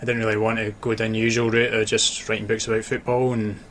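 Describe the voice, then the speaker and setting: A Scottish man with a low voice and little rise or fall talks casually as an interview guest. His accent blends Dundee, Glasgow and Edinburgh, and he pauses to think. There is some noise behind him. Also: little pitch movement, fairly bright tone. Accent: Scottish, mix of Dundee, Glasgow and Edinburgh. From Dundee, Scotland. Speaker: interview guest, author and sports journalist. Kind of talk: casual radio interview chat. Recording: clear background noise.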